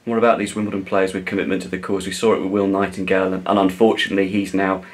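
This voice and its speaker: A man interviewing a player after a match, asking brisk leading questions that end in tags. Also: low voice, flat delivery. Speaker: club media interviewer.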